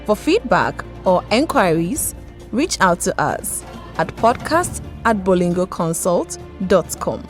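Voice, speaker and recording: A woman's voice: fairly low pitch, slow, faint music behind. A woman reading a scripted podcast outro in an upbeat way. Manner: upbeat, lively read.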